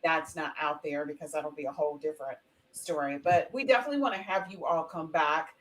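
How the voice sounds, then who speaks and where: A woman's voice: deep voice, fairly quick, slight background noise, low-quality recording. A woman hosting an unscripted live podcast, talking off the cuff as she runs the show. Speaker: podcast host.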